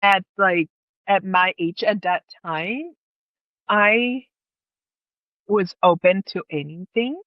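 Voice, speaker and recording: A woman's voice: mid-range voice, takes it slowly, long pauses, no echo, highs cut off. A woman from Thailand with a Thai accent, telling personal stories casually as an interview guest in her second language.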